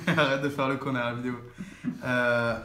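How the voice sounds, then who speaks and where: A man's voice: medium pitch, fairly even intonation, normal speed, a bit bright. A young man chatting casually as he unboxes a laptop, hesitant and full of fillers and restarts. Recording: echoey room with faint noise.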